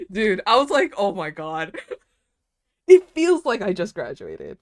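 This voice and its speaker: A woman's voice: mid-range voice, wildly swooping pitch, takes it slowly, dry recording, studio quality. Chatty laughing woman in her twenties. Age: 20s. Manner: chatty, rambling, laughs often, says "dude" and "like". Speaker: streamer drawing fanart. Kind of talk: casual chat while drawing on stream.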